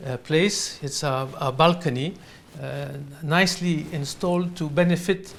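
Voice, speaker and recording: A man with a French accent answering interview questions in halting, unscripted non-native English. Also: fairly high pitch, steady tone, fairly bright tone. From France. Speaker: interview guest, real estate company owner. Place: in his apartment.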